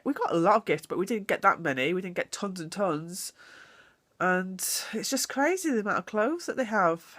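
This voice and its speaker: A woman's voice: on the low side, fairly bright tone. Young woman, stuffy cold voice, casual rambling home vlog, phone camera mic. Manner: chatty, rambling, self-deprecating. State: full of a cold, congested. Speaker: vlogger. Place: at home, indoors.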